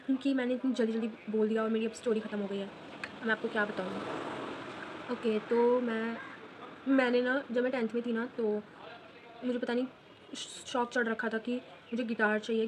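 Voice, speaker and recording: Fast-chatting teenage girl, noisy background. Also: on the high side. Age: late teens. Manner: chatty, fast, mixes English phrases into Hindi. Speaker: vlogger. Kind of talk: casual storytelling while drawing.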